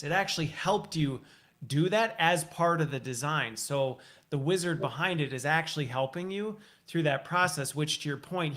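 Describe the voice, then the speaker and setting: A man with a fairly high voice hosts a relaxed, conversational interview. He is talkative, often thinking aloud and summing up points before moving on. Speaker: interview host.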